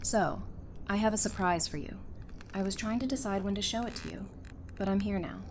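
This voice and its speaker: A woman's voice: medium pitch, brisk, background noise, close to an everyday voice. Woman acting a playful, teasing young survivor. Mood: warm, eager. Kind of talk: fan audio drama acting.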